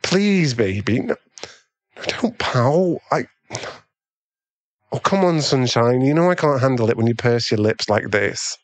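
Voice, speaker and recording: A man playing a doting, easily swayed millionaire boyfriend in an ASMR roleplay, pleading and halting, with breathy sighs. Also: medium pitch, lively intonation, lightly acted. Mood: anxious, pleading.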